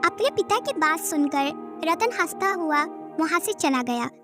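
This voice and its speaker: Very high-pitched woman story narrator. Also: lively intonation, measured pace. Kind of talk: scripted children's story narration. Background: background music.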